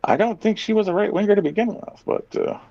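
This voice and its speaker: A man hosts a casual podcast chat with a very animated, swooping delivery. He is sardonic and opinionated and swears freely as he reads things out and reacts. The sound is dull and lo-fi. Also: high voice, fast talker, vocal fry. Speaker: podcast host. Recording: dull, muffled lo-fi audio.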